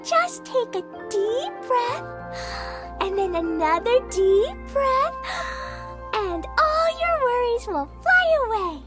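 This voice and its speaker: Bubbly, innocent little girl, extremely high and slow-paced, game cutscene with prominent music. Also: big pitch swings, full character voice. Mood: reassuring. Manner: bubbly, childlike, earnest. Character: Cheerful, innocent, eager little girl, daughter of a mage. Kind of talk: video game cutscene voice acting.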